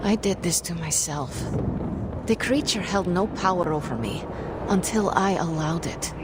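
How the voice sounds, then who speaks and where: An actress playing a guarded, solemn young sorceress haunted by her childhood, speaking formally and deliberately in a video game drama. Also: on the low side, lively intonation, noisy background. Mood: bitter, solemn. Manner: formal, measured, brooding wording without contractions. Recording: loud music behind the voice. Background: music.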